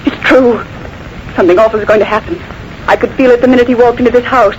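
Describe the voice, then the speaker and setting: An actress playing an anxious, devoted secretary in 1940s radio drama, earnest and melodramatic. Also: female voice, on the high side, steady tone, fast, close to an everyday voice. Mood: fearful alarm. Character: anxious, devoted female secretary, fearful and pleading. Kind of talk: 1940s radio acting, dramatic first-person narration. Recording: noisy vintage 1940s radio recording.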